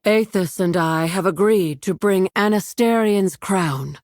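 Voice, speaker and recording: A woman's voice: mid-range voice, measured pace, a little mellow, no room sound, studio quality, natural voice. A woman playing a dignified, caring elven noblewoman in a fantasy game. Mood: gentle gravity.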